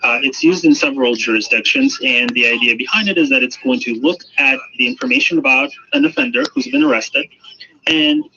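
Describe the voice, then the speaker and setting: Bright-voiced man explaining, muffled noisy recording. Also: medium pitch. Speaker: AI expert being interviewed. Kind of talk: explanatory interview talk. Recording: muffled, noisy radio-like sound.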